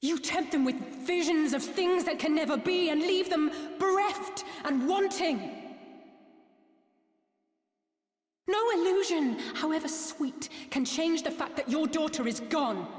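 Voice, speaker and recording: High-voiced, earnest young heroine. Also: female voice, expressive, normal speed, few pauses. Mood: impassioned, pleading. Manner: formal, slightly archaic phrasing. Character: earnest, defiant young heroine, passionate and compassionate. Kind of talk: dramatic video game voice acting. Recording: echoing hall reverb.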